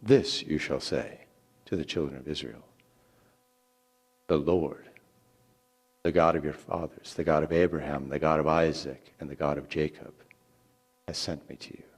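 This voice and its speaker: A man voicing a solemn, commanding male God who speaks with deliberate authority in a dramatic scripture reading. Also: a bass voice, fairly even intonation, husky, voice pushed down, exaggerated voice. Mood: solemn, majestic.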